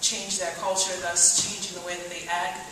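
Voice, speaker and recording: Young american woman asking a question from the crowd, lots of likes and ums, flat delivery, far off in a noisy echoey hall. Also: lowish voice, moderate pace. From United States. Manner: hesitant, many "like" and "um" fillers. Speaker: audience member asking a question. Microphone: distant audience mic. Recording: echoey hall, distant with background noise.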